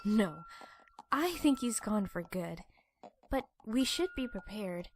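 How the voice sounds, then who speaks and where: A woman's voice: mid-range voice, sing-song, slow, a little hiss or hum. A woman voicing an earnest, bookish young pony princess in a cartoon-style comic dub. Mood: earnest, concerned.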